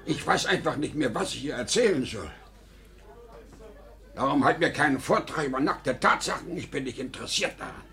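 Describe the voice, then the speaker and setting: A man's voice: fairly high pitch, expressive, normal speed, sharp tone. An actor playing an older, desperate and self-deluding salesman father in a theatrical 1950s German radio drama. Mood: bitter, desperate. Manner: volatile, emphatic, theatrical.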